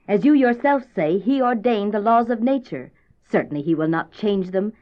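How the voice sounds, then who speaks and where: An actress playing a middle-aged mother, formal, measured and firm, in an old-fashioned radio drama style. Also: medium pitch, lively intonation, natural voice. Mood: gently firm. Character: proper, measured mother of grown daughters, dutiful but questioning. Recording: dull lo-fi cassette radio drama.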